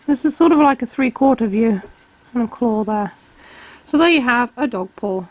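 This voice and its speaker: A woman, calmly explaining each step of a drawing tutorial while she sketches. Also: on the high side, normal speed, no echo, muffled recording. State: has a cold, slightly hoarse. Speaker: art tutor.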